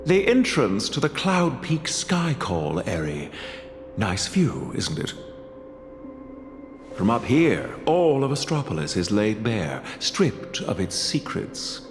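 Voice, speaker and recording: Slow, swooping, courteous corporate heir. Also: male voice, fairly low pitch, huge pitch swings, full character voice. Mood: suave, poised. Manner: polite, poised, theatrical. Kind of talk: dramatic video game voice acting. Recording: long reverb, music behind. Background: music.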